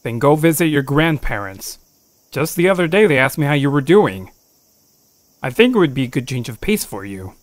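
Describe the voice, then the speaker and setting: A man's voice: a little high, very animated, moderate pace, dry recording. A man playing a nagging but sensible parent in a scripted audio drama. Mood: nagging, reasonable.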